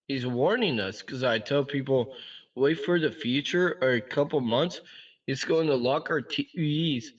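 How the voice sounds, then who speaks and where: A man on a video-chat link speaks very slowly and offhandedly, with casual profanity. His voice comes from far off the mic and sounds dull and muffled through the call. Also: mid-range voice. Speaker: random video chat stranger.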